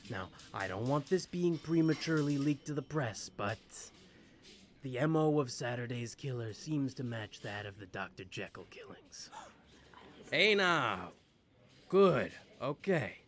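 A man's voice: mid-range voice, expressive, unhurried, natural voice. A man playing a brusque, commanding police chief briefing detectives in an audio drama. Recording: faint background noise and ambience.

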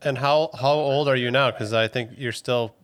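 A man's voice: medium pitch, steady tone, moderate pace, slight background noise. A man in his thirties hosting a casual interview, putting friendly questions to his guest. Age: 30s. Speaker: podcast host.

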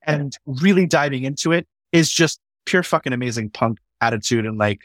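A man talks in a loose, excited conversational way, swearing freely and restarting his sentences as he thinks aloud. His voice sits at an ordinary middle pitch. The sound is dry, with no room echo. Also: a little fast. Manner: enthusiastic, swears casually, many restarts. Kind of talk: conversational podcast discussion.

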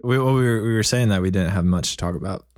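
A man's voice: lowish voice, fast, a little mellow, no echo. Wry man with a dry, close sound. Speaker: band member on a podcast. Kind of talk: casual podcast chat.

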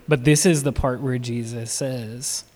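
A man's voice: medium pitch, steady tone, a little slow, darkish tone, a little hiss or hum. A man speaking earnestly on a panel, quoting scripture. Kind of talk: casual panel talk. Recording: reverberant hall.

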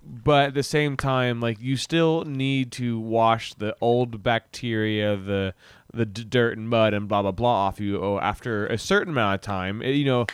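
A man in a casual, profane podcast chat, talking in a halting, slangy way with wandering asides. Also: medium pitch, clear, resonant tone. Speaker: podcast co-host.

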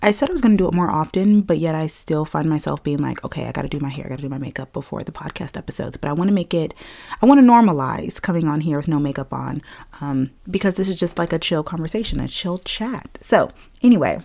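A young woman with a low, gravelly voice chats through her podcast, raspy and a little scratchy today. She talks casually and loosely, laughing often and filling pauses with "like" and "um.". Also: lively intonation, warm tone. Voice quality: raspy, slightly deeper than usual. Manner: chatty, laughs often, uses fillers like "like" and "um". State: scratchy throat. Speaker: podcast host. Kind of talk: casual solo podcast chat. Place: at home, filming herself.

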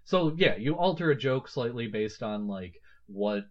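A man's voice: mid-range voice, expressive, unhurried, darkish tone. A man in his thirties hosting a casual book-discussion podcast, thinking aloud with many fillers and restarts. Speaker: podcast host. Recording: slightly muffled lo-fi audio.

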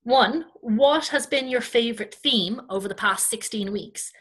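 Bright Irish woman in podcast chat. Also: fairly high pitch, unhurried, breathy edge. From Ireland. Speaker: podcast host and children's performer.

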